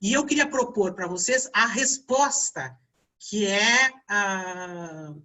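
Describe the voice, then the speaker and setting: A woman's voice: mid-range voice, slow pace, bright, forceful tone, rough recording. A woman with a Brazilian accent teaching an online class informally, often checking in with short tag questions. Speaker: teacher leading a theatre voice class.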